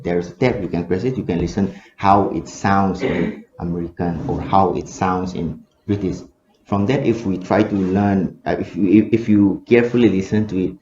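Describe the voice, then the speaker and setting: A man's voice: sits low, warm tone, slight background noise. A young man with a Manipuri accent, a research student answering questions in an academic discussion, hesitant, with many fillers and tag questions. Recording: echoey room, dull lo-fi audio.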